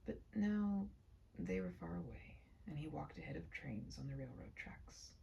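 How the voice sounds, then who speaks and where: A woman's voice: low-pitched, animated, gravelly, highs cut off, slight background noise. Whispery woman reading a story. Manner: hushed, often dropping into whispers; slow, deliberate phrasing with dramatic pauses. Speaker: storyteller reading her own short story. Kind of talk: read-aloud of a literary short story, soft and ASMR-leaning.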